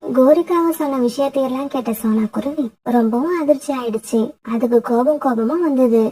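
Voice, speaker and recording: A woman with a husky voice narrating a scripted children's story. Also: high voice, brisk, mellow.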